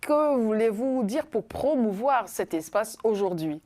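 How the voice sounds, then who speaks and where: A woman's voice: fairly high pitch, lively intonation, unhurried, darkish tone. A woman hosting a TV talk show, introducing guests with formal, theatrical flourishes.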